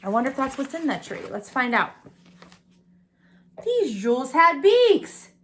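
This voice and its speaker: High-voiced woman reading to young children. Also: big pitch swings, measured pace, fairly bright tone, slight background noise. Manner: explains pictures and asks listeners questions. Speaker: storybook reader for preschool children. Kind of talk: read-aloud picture book with chatty asides to young children.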